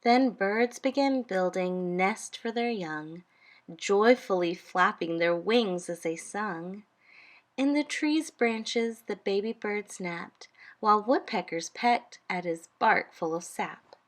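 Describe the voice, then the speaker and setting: A woman reading a children's storybook aloud in an expressive, deliberate, rhythmic way. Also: mid-range voice. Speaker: storytime reader.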